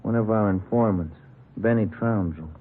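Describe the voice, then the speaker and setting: An actor playing a plain-spoken police detective partner, talking in clipped, casual cop talk in an old radio drama. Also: male voice, on the low side, normal speed, natural voice. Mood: brisk. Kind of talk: old-time radio crime drama acting. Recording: noisy, muffled old radio recording.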